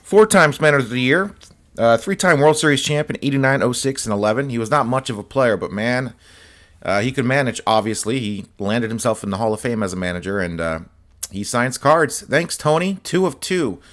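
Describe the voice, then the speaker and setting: A man with a slightly hoarse, scratchy voice, chatting casually as host while showing autographed sports cards. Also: medium pitch, fairly quick. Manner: relaxed, chatty, many fillers and asides. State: slightly hoarse, voice partly lost. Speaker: host of a card-collecting channel.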